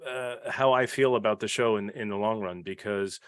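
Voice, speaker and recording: A man's voice: lowish voice, steady tone, normal speed, a bit bright, smooth, no echo, clean studio sound. A man speaking earnestly and a little self-deprecatingly in a casual panel discussion, as a podcast panelist.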